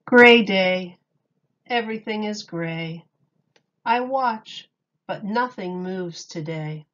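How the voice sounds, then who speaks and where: A woman reading a children's picture book aloud like a teacher, deliberately and expressively, with dramatic emphasis on key words. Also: medium pitch, fairly bright tone, muffled recording.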